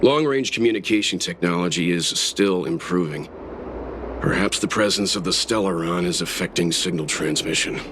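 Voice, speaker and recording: A man playing a calm, analytical middle-aged mentor in a video game, measured and thoughtful. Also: low voice, background noise, close to an everyday voice. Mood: pensive. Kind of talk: video game cutscene acting.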